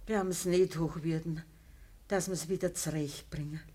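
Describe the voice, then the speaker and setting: A woman playing a dying, middle-aged peasant mother in a radio play, humble and sorrowful, speaking halting Bavarian dialect in a weak voice. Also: deep voice, steady tone, warm tone. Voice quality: husky, weak. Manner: halting, deferential.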